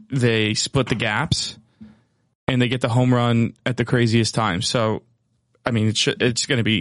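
A man co-hosting a casual sports chat, talking in bro-ish slang, his voice a little hoarse from yelling. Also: mid-range voice, no echo, clean studio sound. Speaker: podcast co-host.

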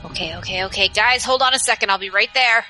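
An actress playing a giddy, greedy scavenger mercenary in an action audio drama. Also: female voice, medium pitch, fast, sharp tone, soft background music. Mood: hurried, distracted.

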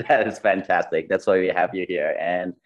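A man's voice: sits low, measured pace, dull audio. A man hosting a podcast interview over a video call, friendly and hesitant, with many fillers. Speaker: podcast host. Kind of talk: conversational interview. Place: Bali.

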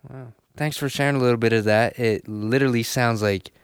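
A man's voice: medium pitch, fairly even intonation, a little fast, clear, resonant tone, no room sound, studio quality. A man hosting a casual faith interview, asking his questions in a friendly way. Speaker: host.

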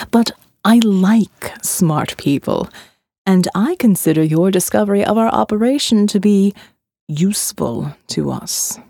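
A woman voicing a smooth, elegant male captor who calmly lectures his prisoner in an audiobook. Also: lowish voice, no echo, clean studio sound, pitched below the performer's own voice. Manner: calm, smooth and menacing, lecturing. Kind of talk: audiobook character voice.

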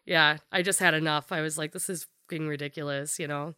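A woman in her thirties with a deep voice, talking frankly and bluntly as a podcast guest, swearing freely and using lots of fillers. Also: fairly even intonation, a little fast, sharp tone, clear, resonant tone, dry recording, studio quality. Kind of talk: casual candid conversation.

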